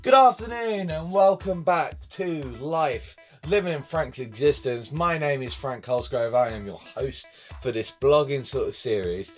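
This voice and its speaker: A young man talks to his phone camera in his bedroom, hosting his own vlog. His voice sits a bit high, and he rambles and repeats himself in a casual way. Faint music plays behind him. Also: unhurried. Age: 20s. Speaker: vlog host. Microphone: phone camera on tripod.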